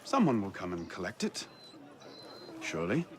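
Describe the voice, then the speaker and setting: A man's voice: low voice, wildly swooping pitch, normal speed, noisy background. A man playing an earnest young hero in a game cutscene, wry and tender. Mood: dry, wry. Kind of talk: video game cutscene acting.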